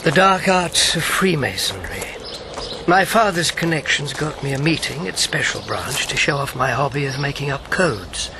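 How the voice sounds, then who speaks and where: An actor playing a witty older British former wartime codemaker in a radio drama, wry, playful and self-deprecating. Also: male voice, fairly high pitch, lively intonation, normal speed. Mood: wry, anecdotal. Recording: clear background noise.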